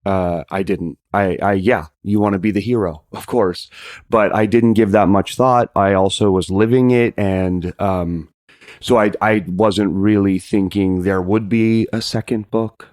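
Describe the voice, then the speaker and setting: Male guest, lowish voice, modest and hesitant, thinking aloud in relaxed conversational podcast style. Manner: hesitant, self-effacing, halting. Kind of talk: casual podcast chat.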